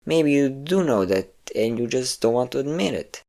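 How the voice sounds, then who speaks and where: A man's voice: medium pitch, animated, normal speed, dark tone. A man playing a possessive, domineering vampire lover, teasing and commanding the listener in an intimate audio roleplay. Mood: mocking, dominant. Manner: teasing, commanding, breathy whispers at times.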